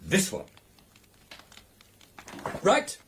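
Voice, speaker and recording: An actor playing a stubborn, excitable British gentleman in a radio drama, theatrical and defiant. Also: drawn-out words, slight background noise. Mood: confident. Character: stubborn, excitable gentleman recounting and re-enacting a ghost encounter.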